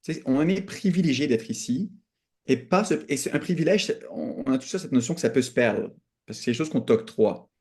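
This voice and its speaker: A man's voice: mid-range voice, animated, fairly quick, darkish tone. A young man from Canada with a Quebec French accent, speaking as a podcast guest in a reflective, earnest conversation, restating points for emphasis. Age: young adult.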